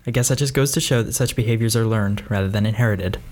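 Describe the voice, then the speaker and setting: A man voicing a gentle young Englishman. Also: on the low side, quick pace, natural voice. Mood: wry. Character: young British man, orphan, gentle lover.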